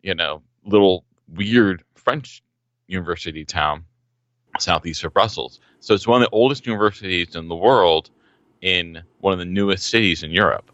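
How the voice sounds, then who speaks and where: Male podcast guest, very deep yet bright and forceful voice, dry muffled remote audio. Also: normal speed, no room sound. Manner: enthusiastic storyteller, some ums. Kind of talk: casual podcast conversation.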